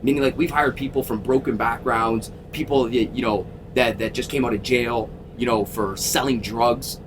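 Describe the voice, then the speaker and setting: A man in his thirties from Toronto, a sales coach telling his story in a casual interview, energetic and emphatic, swearing freely. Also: moderate pace. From Toronto, Canada. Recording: constant vehicle rumble.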